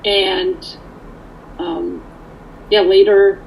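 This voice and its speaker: A woman's voice: medium pitch, level tone, extremely slow, vocal fry, darkish tone, slight background noise, low-quality recording. A woman from Canada, born in Poland, giving candid, earnest personal testimony in a conversational way. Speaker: speaker sharing her recovery story.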